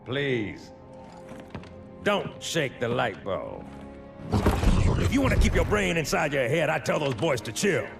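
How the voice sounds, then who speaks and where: A man voicing a gruff veteran marine sergeant who gives sarcastic, drawling orders. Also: medium pitch, huge pitch swings, unhurried, pauses often, loud music behind the voice, exaggerated voice. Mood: sardonic.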